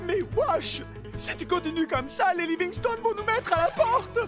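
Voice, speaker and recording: Anxious little cartoon animal: high, swooping, fretful and earnest, over music. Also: expressive, normal speed. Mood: pleading. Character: anxious, earnest, naive small animal pet, Sam. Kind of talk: cartoon dub acting.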